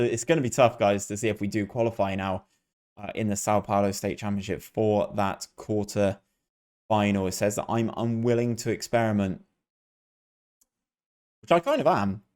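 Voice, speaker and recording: A man's voice: mid-range voice, a little mellow. Male gaming video host, mid-range voice, casual commentary, chatty, addresses viewers directly.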